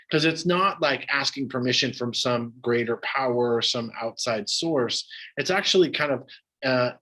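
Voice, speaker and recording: A man's voice: mid-range voice, poor audio. A man giving a casual dharma talk and answering questions from a live audience as a meditation teacher. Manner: relaxed, casual, conversational, many fillers.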